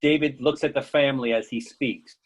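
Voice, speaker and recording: A man's voice: medium pitch, fairly even intonation, moderate pace, breathy edge. A man reading stage directions as scripted narration.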